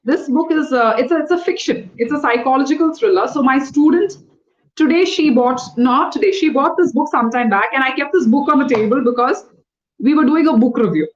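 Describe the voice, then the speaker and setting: A high-pitched woman coaches a learner through a one-on-one lesson. She is patient and corrective and spells words out letter by letter. The sound is dull and lo-fi, like call audio. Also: brisk. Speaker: English trainer.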